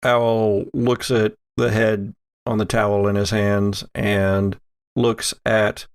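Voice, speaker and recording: A man narrating a horror tabletop game as game master, with a halting, deliberate delivery. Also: fairly low pitch, flat delivery, clear, resonant tone, no echo, studio quality.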